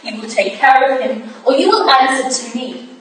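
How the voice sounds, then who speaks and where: A woman's voice: sits high, normal speed, close to an everyday voice. A woman in a theatrical staged reading, playing a poised, firm and shrewd Victorian wife who speaks politely but pointedly. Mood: firm, challenging. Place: roomy hall at a live reading. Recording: echoey room from far off, faint noise.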